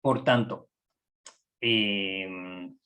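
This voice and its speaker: A middle-aged man with an Ecuadorian accent, a psychology professor giving a training lecture in a measured way, pausing often with fillers. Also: low-pitched, steady tone, fairly bright tone. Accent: Ecuadorian Spanish. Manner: measured, with frequent hesitations and fillers. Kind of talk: recorded online lecture.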